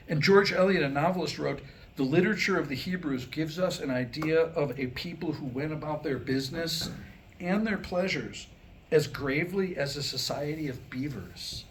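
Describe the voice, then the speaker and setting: A man reading a scripted comic monologue at a live staged reading, with a wry, mock-scholarly delivery and deliberate phrasing. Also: on the high side, a bit bright. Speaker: writer presenting his new humorous script. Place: live staged reading before a small audience. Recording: clearly noisy live room recording.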